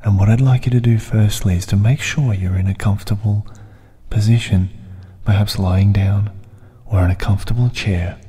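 A man softly narrating a hypnotic guided meditation in a soothing, deliberate way, with breathy phrases and long pauses. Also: fairly low pitch, fairly even intonation. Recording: long reverb, music bed underneath. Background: music.

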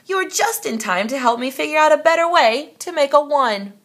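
A woman voicing an excitable male count from a storybook, drawing out his exclamations. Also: high voice, normal speed, voice pushed up. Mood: welcoming. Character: excitable old male count of numbers, inventor.

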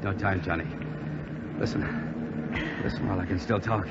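A man in a 1940s radio drama playing a wounded, fading bomber pilot who gives halting, steady commands. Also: low-pitched, lightly acted. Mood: pained, urgent. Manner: halting, terse instructions. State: weak, labored, fading. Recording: noisy muffled 1940s radio broadcast recording. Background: loud aircraft engine noise.

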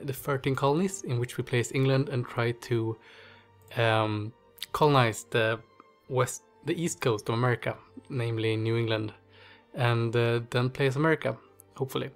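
A man's voice: mid-range voice, steady tone, moderate pace, faint music behind. A man with a stuffy nose and a slightly strained voice, hosting casual strategy game commentary, thinking aloud with many fillers. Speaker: gaming channel host.

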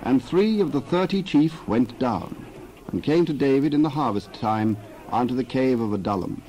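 A male narrator with a medium-pitched voice reads scripture in a measured, formal style. There is faint noise in the recording. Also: lively intonation, dark tone, low-quality recording.

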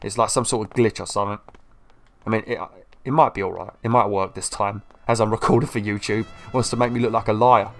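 A young man with a slight cold, casually commentating a video game walkthrough in an excitable, chatty way. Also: fairly low pitch, fast. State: slightly ill, sniffly. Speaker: gameplay video host. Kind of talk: casual let's-play commentary.

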